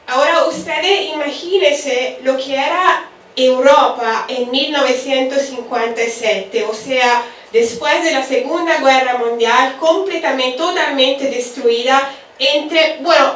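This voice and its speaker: A woman's voice: high-pitched, fairly bright tone. A middle-aged woman with an Italian accent, a native Italian speaker, giving an explanatory academic lecture as a professor. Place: wood-panelled university seminar room. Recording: very echoey hall, distant.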